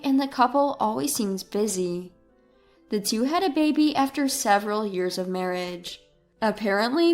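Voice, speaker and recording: A woman reading a scripted first-person story as its narrator. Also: high-pitched.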